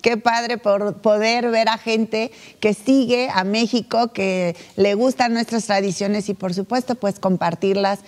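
A woman's voice: mid-range voice, fairly even intonation, unhurried, hardly any pauses, a little mellow. A woman presenting sports news in a studio, with chatty asides. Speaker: news anchor.

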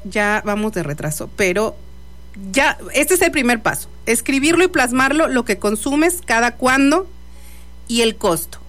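A woman's voice: fairly high pitch, fairly bright tone. A woman hosting live radio, chatting casually and wandering off into asides. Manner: chatty, digressive. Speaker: radio host.

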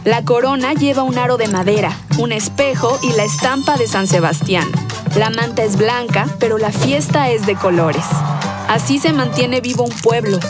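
Female documentary narrator, short lyrical phrases, loud music bed. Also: a little high, moderate pace. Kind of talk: scripted narration.

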